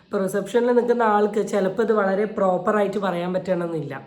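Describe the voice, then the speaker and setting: A woman's voice: mid-range voice, fast talker, darkish tone. Woman, casual reaction commentary, opinionated, explanatory, English phrases mixed in, roomy echo. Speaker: video commentator. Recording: echoey, roomy sound.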